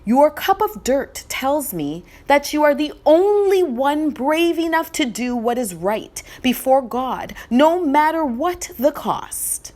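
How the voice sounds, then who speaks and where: A woman's voice: high voice, big pitch swings, slow pace, few pauses, darkish tone, voice pushed up, exaggerated voice. A woman voicing a solemn, commanding king in a storybook read-aloud, stately and declamatory. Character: solemn, commanding male king, fair and hearty.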